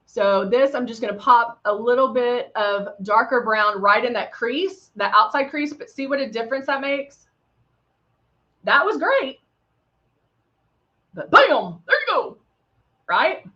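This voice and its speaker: A middle-aged woman with a country Texan accent talks viewers through her makeup in a chatty, upbeat way, tossing in playful little sound effects. Her voice sits a bit high. The sound is dull and lo-fi, as on a livestream. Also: lively intonation, unhurried, pauses often, bright, forceful tone. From Texas. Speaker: makeup brand host.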